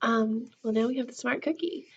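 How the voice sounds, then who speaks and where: A woman school counselor reading a picture book to children in an expressive, casual storytime style. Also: a little high, fairly quick, slightly rough, highs cut off. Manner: animated, acts out the character lines. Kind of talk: expressive children's read-aloud with casual asides.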